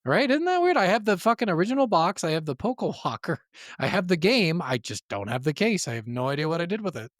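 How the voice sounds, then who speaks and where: A man's voice: high voice, big pitch swings, fast talker, no room sound. Blunt, sweary man in a dry room. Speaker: podcast host. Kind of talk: casual chat about video games.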